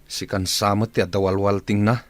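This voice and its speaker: An actor playing a gruff, curt man in an Ilocano radio drama. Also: on the low side, level tone, normal speed, no echo, lightly acted.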